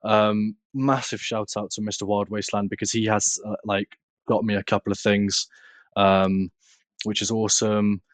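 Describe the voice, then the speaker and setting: A nineteen-year-old man with a British accent, speaking casually and haltingly as an interview guest. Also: fairly low pitch, little pitch movement, no room sound. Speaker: podcast guest, content creator.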